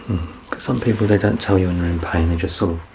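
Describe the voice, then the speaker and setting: A man playing a doctor in an ASMR medical exam roleplay, soft-spoken and reassuring, with gentle teasing and close whispered passages. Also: sits low, steady tone, quick pace, slight background noise, poor audio. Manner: slips into unvoiced whispering up close.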